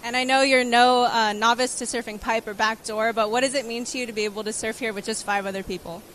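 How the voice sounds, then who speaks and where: A woman interviewing surfers on the beach, bright and upbeat. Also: on the high side, fairly even intonation, fast talker, slight background noise. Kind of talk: sports interview.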